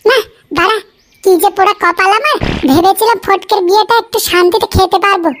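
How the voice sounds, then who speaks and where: Very squeaky self-centred cartoon lad. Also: male voice, steady tone, quick pace, fairly bright tone, a little hiss or hum. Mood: irritable. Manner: coarse, bantering. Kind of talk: Bengali comedy cartoon acting.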